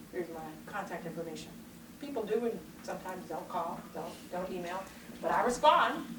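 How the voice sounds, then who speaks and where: A middle-aged woman giving a motivational keynote and answering questions in a confident, emphatic, rhythmic way. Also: fairly low pitch. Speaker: keynote speaker. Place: large conference hall. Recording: roomy hall sound, distant mic, noisy.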